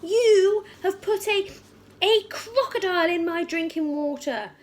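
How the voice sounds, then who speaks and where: A woman's voice: extremely high pitch, unhurried, voice pushed up. A woman voicing a huge, furious, bullying headmistress who barks and roars accusations in a children's storybook. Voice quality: barking, roaring. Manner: menacing, accusing, insulting.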